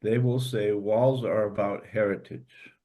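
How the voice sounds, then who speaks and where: A man's voice: on the low side, fairly even intonation, unhurried, mellow, natural voice. A man playing a frank, eager schoolboy son in a stage play, with a blunt, casual way of talking. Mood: frank, defiant. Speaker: actor in a staged reader's theater.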